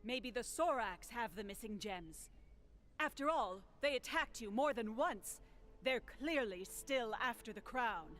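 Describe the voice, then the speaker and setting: An actress playing a cautious council member in a video game cutscene. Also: female voice, fairly high pitch, expressive, measured pace, a bit bright. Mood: concerned.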